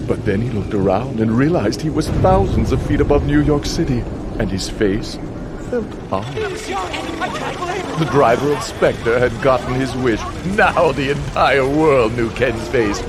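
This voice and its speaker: An actor voicing a sinister, gloating contest master, narrating a video game in a dramatic, drawn-out way. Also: male voice, mid-range voice, expressive, heavy background noise, natural voice. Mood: smug. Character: sinister, gloating male host of a deadly car contest. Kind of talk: theatrical scripted game narration. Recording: loud music behind the voice.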